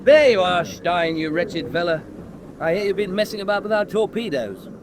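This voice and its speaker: An actor playing a cocky, hard-drinking submarine commander, jovial, teasing and theatrical. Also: male voice, high voice, expressive, normal speed, noisy background. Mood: teasing, tipsy. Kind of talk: dramatic radio acting.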